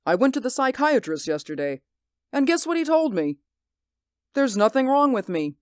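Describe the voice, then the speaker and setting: An actor in a 1940s radio drama playing a haunted, sleepless man who pleads in an intense, hushed voice. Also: very high voice, big pitch swings, normal speed, clear, resonant tone, no room sound, studio quality. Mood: brooding.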